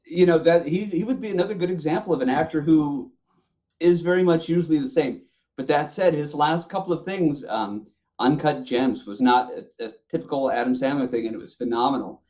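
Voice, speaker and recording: A man's voice: a little high, brisk, darkish tone. A man chatting casually as a podcast co-host, opinionated and effusive in the way he talks. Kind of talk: casual panel chat.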